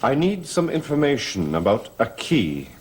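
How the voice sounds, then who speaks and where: A man's voice: medium pitch, very animated, normal speed, faint music behind, lightly acted. An actor playing an urgent man seeking answers, terse and probing, in a vintage gothic TV soap. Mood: intent, probing. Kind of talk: 1960s-70s gothic TV soap acting.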